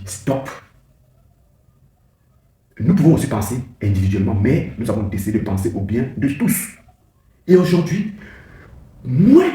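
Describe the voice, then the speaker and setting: Passionate guy ranting to his channel, voice swings all over, mellow tone, roomy echo. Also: mid-range voice. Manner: rhetorical, repeats phrases, rises to emphatic peaks. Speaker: channel host giving political commentary. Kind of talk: impassioned speech to camera.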